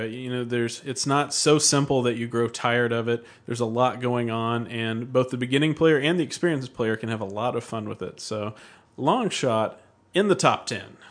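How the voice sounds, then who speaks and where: A man's voice: medium pitch, normal speed, a bit bright. A man co-hosting a podcast, chatting casually about board games in a conversational, joking way. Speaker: podcast co-host.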